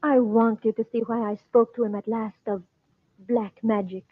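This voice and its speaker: An actress playing an anxious, devoted Egyptian princess in an old-time radio drama, with formal, foreign-sounding phrasing. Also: female voice, fairly high pitch, fairly even intonation, moderate pace, lightly acted. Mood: anxious, resisting. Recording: thin, roomy, phone-like old radio recording.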